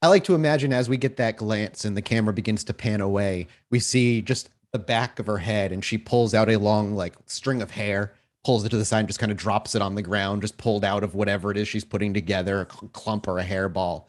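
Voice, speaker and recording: A man's voice: on the low side, fairly even intonation, a little fast, few pauses. A man running a tabletop roleplaying game, narrating in wordy, cinematic detail and putting questions to players. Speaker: game master and storyteller.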